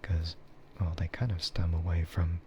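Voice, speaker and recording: Low, dark-voiced man murmuring ASMR chat. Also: level tone, normal speed, slight background noise. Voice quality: soft, breathy, often slipping into a whisper. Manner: rambling, laid-back. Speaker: streamer and VTuber host.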